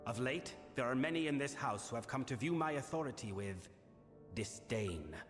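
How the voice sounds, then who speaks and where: A male actor voicing a grave, burdened fae king in a fantasy game, speaking formally and in a measured, solemn manner. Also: medium pitch, animated, natural voice. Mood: stern, formal. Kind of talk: scripted fantasy game dialogue. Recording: long reverberant hall, music behind. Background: music.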